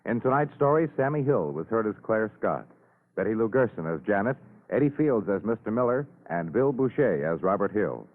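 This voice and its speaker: Animated American male radio announcer. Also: fairly low pitch, moderate pace. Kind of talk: 1950s radio announcing, scripted credits and promo. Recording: tinny old radio broadcast, loud music behind.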